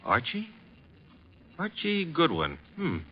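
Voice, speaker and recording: A man's voice: fairly high pitch, huge pitch swings, slow, a little hiss or hum, exaggerated voice. A man playing a brash, flirtatious American detective's assistant in a 1950s radio drama, glib and teasing. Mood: breezy, teasing. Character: wisecracking, flirtatious male private detective's assistant, brash and breezy. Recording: dull vintage radio recording.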